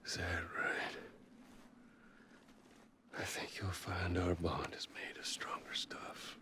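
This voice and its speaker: A man's voice: sits low, steady tone, slow, in a whisper. A man acting a taunting, theatrical villain with delusions of godhood in a video game cutscene, mocking and swaggering. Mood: menacing, hushed. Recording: loud music under the voice.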